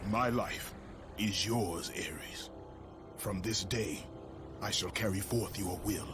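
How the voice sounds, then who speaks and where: A man's voice: on the low side, slow pace. A man playing a fierce, grim Greek warrior in a video game, intense and declamatory. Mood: grim, submissive. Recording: loud orchestral music over voice.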